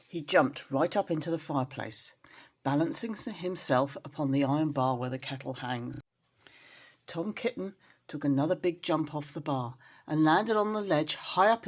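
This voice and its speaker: A woman storyteller reading expressively to young children, in an engaging, animated manner. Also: deep voice, breathy edge. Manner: animated, addresses young listeners with questions.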